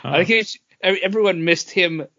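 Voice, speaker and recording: A man's voice: high-pitched, moderate pace, gravelly, pushed and bright, dry recording. A man talking casually and conversationally as a co-host on a podcast. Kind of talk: casual chat. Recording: dry, dull lo-fi audio.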